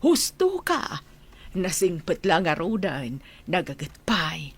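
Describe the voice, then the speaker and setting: A woman's voice: fairly low pitch, wildly swooping pitch, takes it slowly, husky, exaggerated voice. A woman with an Ilocano accent playing an approving middle-aged family friend in a radio drama. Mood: pleased, chatty. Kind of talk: Filipino radio drama acting.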